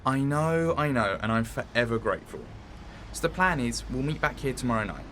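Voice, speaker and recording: A man's voice: mid-range voice, animated, fairly quick, fairly bright tone, slight background noise, lightly acted. A young man acting in an audio drama as an eager, persuasive friend with a coaxing way of talking. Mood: placating, pleased.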